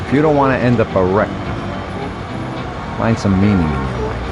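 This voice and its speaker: A man playing a middle-aged mob advisor in a video game cutscene, calm and measured as he counsels. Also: fairly low pitch, very noisy. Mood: fatherly, cautioning. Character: calm older mobster advisor, fatherly, cautionary, businesslike.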